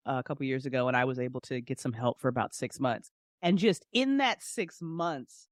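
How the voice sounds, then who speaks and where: A woman's voice: sits low, no room sound. A woman chats casually as a podcast guest. She is frank and emphatic, with an encouraging, informal way of talking. Her voice is recorded dry, with hardly any room sound. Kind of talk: casual chat.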